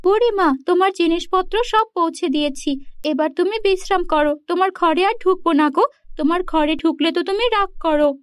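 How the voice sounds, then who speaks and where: A woman's voice: very high-pitched, brisk, no echo, clean studio sound. A woman voicing an earnest, principled young village girl in a cartoon fairy tale. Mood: eager, helpful.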